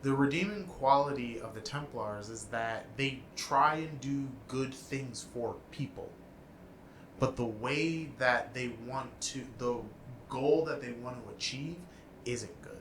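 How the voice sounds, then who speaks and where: A man's voice: medium pitch, measured pace, a bit bright, a little hiss or hum. A man co-hosting a casual chat, talking in long rambling stretches with restarts. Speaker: podcast co-host. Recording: roomy with faint ambience.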